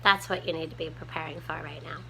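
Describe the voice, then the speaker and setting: A woman with a small, soft voice, chatting casually to her viewers from home with lots of ums while she tidies up. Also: deep voice, fairly even intonation, fast talker, background noise. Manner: rambling, many ums, homespun talk. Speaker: prepping vlogger. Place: home room, tidying a table.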